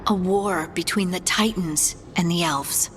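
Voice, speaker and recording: Hurt, resolute game heroine. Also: female voice, fairly low pitch, a little slow, fairly bright tone, a little hiss or hum. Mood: somber, uneasy. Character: aggrieved dwarf woman, Lace, hurt and resolute. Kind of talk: video game cutscene voice acting.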